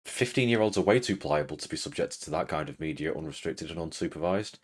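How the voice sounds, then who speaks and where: Deep-voiced British man giving scripted commentary. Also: animated, fast talker, slightly rough. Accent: British English. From Britain. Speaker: video essay host.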